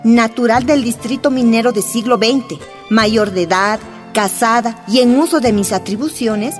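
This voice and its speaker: A woman's voice: a little high, close to an everyday voice. An actress playing a prim, fussy woman secretary in a radio drama, with false concern and a pressuring manner.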